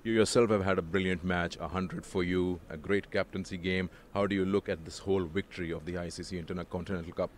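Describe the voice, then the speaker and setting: Measured male cricket presenter outdoors. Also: low voice, steady tone, slight background noise. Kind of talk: post-match interview and sign-off.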